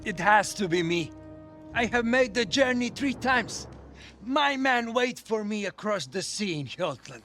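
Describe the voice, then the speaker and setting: A proud, persuasive male Viking jarl in a game drama. His voice sits high and swings animatedly, forceful in delivery, with light music underneath. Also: animated, a little slow, full character voice. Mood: proud, insistent. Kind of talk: dramatic video game acting.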